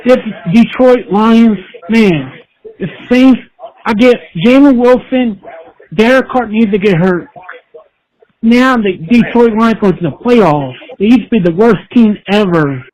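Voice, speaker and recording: A man leaving a loud, heated fan voicemail, dragging his words, from far off the mic. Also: sits high, a little slow. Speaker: voicemail caller.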